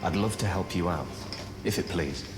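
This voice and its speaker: An actor playing a courteous, wry fugitive sorcerer in a fantasy video game, genteel, chatty and scholarly. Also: male voice, low-pitched, normal speed, heavy background noise, close to an everyday voice. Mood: courteous, wistful. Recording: roomy echo with loud game music.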